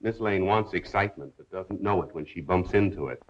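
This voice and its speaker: Man playing a smooth talking wry radio sleuth guy, unbothered, 1930s movie, muffled old soundtrack. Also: low voice, a little fast, close to an everyday voice. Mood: wry, unruffled. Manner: urbane, unruffled, dryly ironic. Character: suave, wry amateur detective and radio crime broadcaster. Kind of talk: 1930s Hollywood film acting. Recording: dull 1930s film soundtrack.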